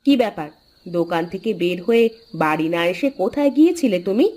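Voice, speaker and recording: A woman voicing a chatty, expressive village housewife and sister-in-law in a Bengali cartoon. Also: on the low side, a little fast. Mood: nagging.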